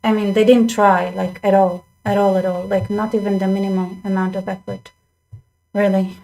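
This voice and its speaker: A woman's voice: medium pitch, level tone, normal speed, creaky, mellow, a little hiss or hum, low-quality recording. A young woman hosting her own channel, talking casually to viewers with lots of restarts and asides. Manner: chatty, restarts often, addresses viewers directly. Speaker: channel host. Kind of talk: casual commentary to camera. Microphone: desk microphone.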